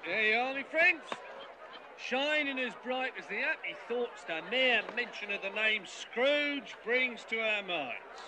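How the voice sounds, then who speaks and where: An actor playing a cheerful street soup seller who makes a pompous speech with rough grammar and leads a crowd in song in a film musical. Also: male voice, extremely high pitch, animated, a little slow, very noisy. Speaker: street vendor giving a speech and singing. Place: crowded snowy street set.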